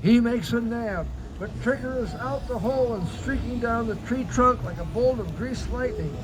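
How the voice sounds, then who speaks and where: A man narrating a tall animal tale as live storytelling, in a scripted performance. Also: normal speed. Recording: noisy outdoor live recording, traffic rumble.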